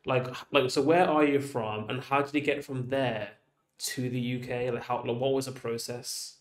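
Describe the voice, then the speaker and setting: Male host, relaxed and chatty, lots of "like", echoey room. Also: medium pitch, moderate pace. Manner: relaxed, chatty, says "like" a lot. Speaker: interview host. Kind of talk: casual interview.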